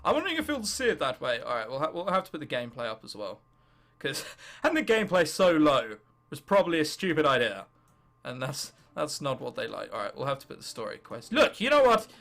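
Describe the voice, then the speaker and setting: A man in his late teens with an English accent giving casual, sarcastic gameplay commentary, self-mocking and freely sweary, with an excitable delivery. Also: on the high side, brisk, gravelly. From England. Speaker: gaming video host.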